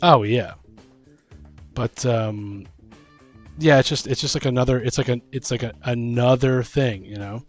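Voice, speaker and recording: A man co-hosting a casual chat about tabletop role-playing as a game master, thinking aloud in a halting, rambling way. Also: mid-range voice, soft background music. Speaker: podcast host and game master.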